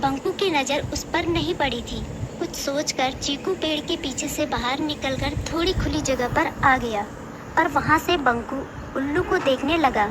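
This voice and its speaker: A woman with a high voice narrates a children's animal tale. She delivers scripted storybook narration as the storyteller. Clear noise is audible behind her. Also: a little slow.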